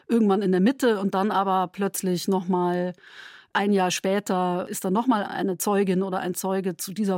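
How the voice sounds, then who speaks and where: A woman's voice: medium pitch, darkish tone, no echo, clean studio sound. A woman who makes radio drama, explaining how a production was put together. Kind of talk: explanatory making-of statement.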